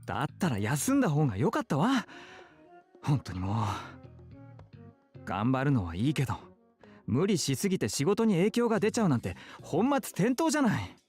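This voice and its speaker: An actor voicing an effeminate, emotional young man in a Japanese visual novel, with soft feminine phrasing and an emphatic manner. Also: a little high, huge pitch swings, fast talker, faint music behind. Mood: angry.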